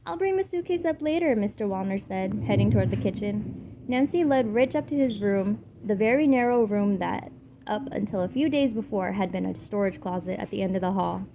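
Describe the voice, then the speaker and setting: A woman's voice: medium pitch, moderate pace, dull audio. A woman reading a story aloud as an amateur narrator. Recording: clearly noisy amateur home recording. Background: background noises.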